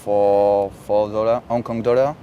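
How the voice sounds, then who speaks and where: A young man from France speaks with a French accent, choosing simple words and pausing as he goes. His voice sits a little low, and faint traffic sounds drift in behind him outdoors. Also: level tone, dark tone. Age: young adult. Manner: halting, simple phrasing. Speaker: exchange student. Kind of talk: casual chat. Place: outdoors on a campus lawn. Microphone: camera at a distance.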